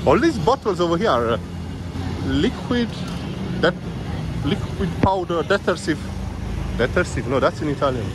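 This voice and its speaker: Chatty man on a loud busy street. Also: fairly high pitch, sing-song, normal speed, pauses often. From Romania. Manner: chatty, searching for English words, laughs often. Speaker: walking tour vlogger. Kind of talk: casual commentary while walking. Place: busy city street outdoors. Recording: loud street traffic noise. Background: traffic and street bustle.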